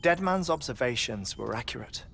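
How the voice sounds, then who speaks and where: A man acting as an erudite, eccentric scientist in a game cutscene, explaining theories deliberately with thoughtful pauses. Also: medium pitch, animated, creaky, music in the background. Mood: pensive, absorbed.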